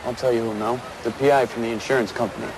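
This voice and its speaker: An actor playing a wry, laconic police detective in a crime audio drama, speaking in a deadpan way. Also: male voice, medium pitch, rapid-fire, background noise, natural voice. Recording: music behind the voice.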